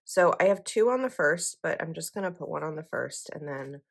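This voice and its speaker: Young woman vlogger, low voice, slightly froggy, rambling and casual. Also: animated, fairly quick, creaky. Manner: chatty, rambling with likes and ums. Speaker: planner vlogger. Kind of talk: casual chat while decorating a planner.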